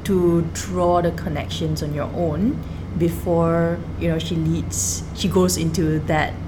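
A woman in a casual book discussion, explaining her views in a measured, reflective way. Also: lowish voice, fairly even intonation, over music, poor audio. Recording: clear background noise, room ambience.

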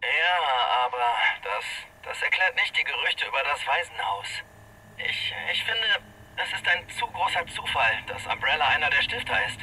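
An actor playing a confrontational, scornful investigative reporter heard on a tape in an audio drama. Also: male voice, a little high, big pitch swings, normal speed, pushed and bright, full character voice. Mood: pushy, accusing. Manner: pressing, confrontational. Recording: distant, noisy tape recorder playback.

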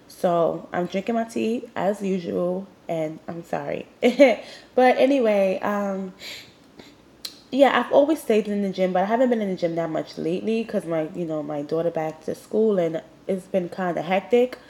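A woman's voice: mid-range voice, moderate pace, vocal fry, darkish tone. A young woman hosting her own show, talking bluntly and with emphasis straight to her listeners; she sounds nasal and sniffly from a cold. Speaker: podcast host. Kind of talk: candid motivational monologue.

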